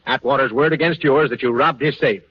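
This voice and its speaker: An American actor playing an exasperated, wheedling police inspector in a 1940s radio crime drama, sarcastic and blustering, then pleading. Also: male voice, mid-range voice, fairly quick, lightly acted. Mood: pressing. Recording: muffled 1940s radio recording.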